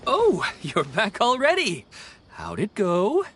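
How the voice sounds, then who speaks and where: A man voicing an earnest, helpful and slightly anxious event organizer in a video game, polite and animated. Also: sits high, takes it slowly, a little hiss or hum, heavily acted. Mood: friendly. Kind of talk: scripted game dialogue.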